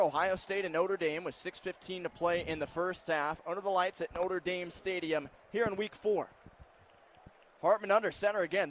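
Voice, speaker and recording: High-voiced American man calling football play-by-play. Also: a bit bright. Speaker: play-by-play announcer. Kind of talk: live football radio play-by-play commentary. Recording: live stadium broadcast with background noise.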